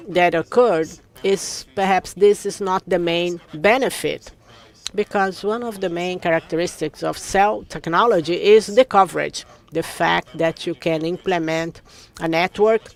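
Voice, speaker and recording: A man giving a simultaneous interpretation of a conference talk, in a steady, measured way. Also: high-pitched, gravelly.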